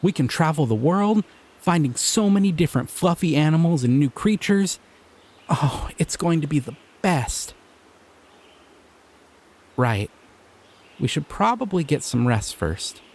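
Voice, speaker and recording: A young man voicing a shy, excitable male slime creature in an audio roleplay, talking in an earnest, flustered, giggly way. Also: a little high, unhurried, pauses often. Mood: dreamy. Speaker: voice actor.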